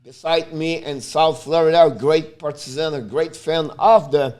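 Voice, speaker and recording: A man from Montreal whose first language is French, speaking English with a Quebec French accent as he hosts a casual live stream, calling the game and chatting with viewers, with loud sudden outbursts. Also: a little high, steady tone, normal speed. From Montreal, Canada. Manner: talks straight to viewers. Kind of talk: casual live play-by-play and chat.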